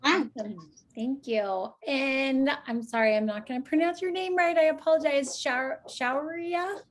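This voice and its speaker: A woman's voice: sits high, unhurried. A woman teacher casually moderating children's questions, thanking them and calling on the next one.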